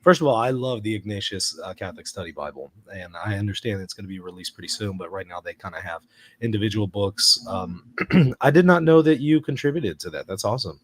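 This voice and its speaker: A man's voice: fairly low pitch, animated, fairly quick. A man hosting an interview, chatty and enthusiastic as he talks with his guests. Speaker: host. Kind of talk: casual interview chat.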